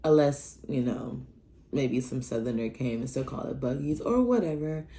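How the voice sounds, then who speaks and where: A young woman from Nebraska telling personal stories in a casual vlog, acting out the dialogue with big jumps in her voice. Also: normal speed. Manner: animated, acts out dialogue with big pitch jumps. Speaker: vlogger.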